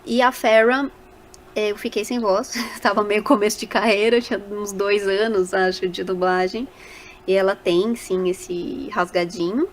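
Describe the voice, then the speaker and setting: A Brazilian woman with a mid-pitched voice talks casually in a podcast interview. She tells stories in an animated way, slipping into quoted remarks. A faint noise sits behind her voice. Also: unhurried. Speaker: voice actor guest.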